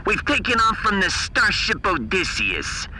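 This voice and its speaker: A man's voice: high-pitched, huge pitch swings, a little slow, pushed and bright, a little hiss or hum, voice pushed up, heavily acted, poor audio. A man voicing a wisecracking shuttle pilot with showy limo-driver patter in a science fiction audiodrama. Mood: joking. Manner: showy mock-announcer salesmanship.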